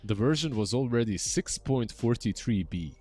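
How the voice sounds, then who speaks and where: A young man casting an esports match, chatting in a relaxed way and often trailing off mid-sentence. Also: medium pitch, moderate pace, husky. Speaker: esports caster. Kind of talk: casual esports commentary.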